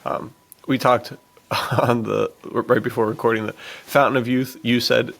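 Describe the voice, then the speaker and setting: A man with a creaky, gravelly voice at an average pitch chats as a podcast co-host. He speaks informally, with plenty of slang. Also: level tone, a little slow, fairly bright tone. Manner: informal slang, dude-heavy. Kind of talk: casual chat about adventure films.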